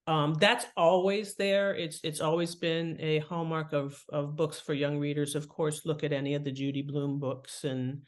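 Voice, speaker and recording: A woman's voice: sits low, flat delivery, moderate pace, few pauses. A woman talks as an expert guest on an interview show. She explains things conversationally, like someone giving practical advice, and leans on her key words. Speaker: guest author and writing expert.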